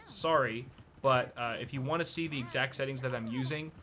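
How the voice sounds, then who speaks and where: A man's voice: medium pitch, fairly quick, sharp tone. A young American man hosting a casual live stream, chatting loosely with many filler words while giving advice. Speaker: streamer host.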